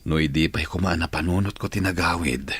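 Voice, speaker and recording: Husky, low-voiced ailing older father. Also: a little fast, a bit bright, no room sound. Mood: regretful. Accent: Filipino. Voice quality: husky, rough. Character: ailing, regretful older father, longing for his family. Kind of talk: radio drama acting.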